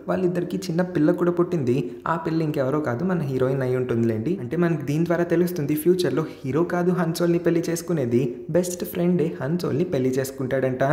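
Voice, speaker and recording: A chatty narrator tells a film story in a casual, scripted way. The delivery is quick and the tone on the soft, mellow side, with a little gravelly creak. Dialogue gets slipped in along the way. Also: medium pitch, roomy sound.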